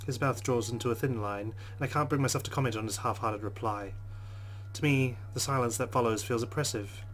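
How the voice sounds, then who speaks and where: A man's voice: medium pitch, a little fast, fairly bright tone, faint music behind. A man hosting a game playthrough, reading the story aloud with earnest delivery and breaking into casual asides. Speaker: let's play host.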